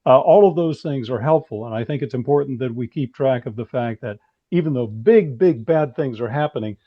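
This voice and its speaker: A man from the United States speaking earnestly as a panel guest, in a measured, halting, explanatory way. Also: mid-range voice. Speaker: panel guest, anthology editor. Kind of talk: earnest casual discussion. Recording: dull, lo-fi call audio.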